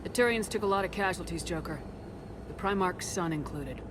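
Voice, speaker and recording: A woman's voice: lowish voice, a little fast, background noise, close to an everyday voice. A woman playing a tough, resolute starship commander in a game, speaking in a curt, direct, assertive way. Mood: serious, subdued. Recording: long reverb with music behind.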